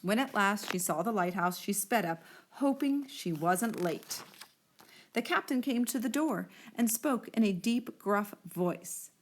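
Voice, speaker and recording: A woman reading a picture book aloud to children in an expressive storytelling style. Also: mid-range voice, measured pace, slight background noise.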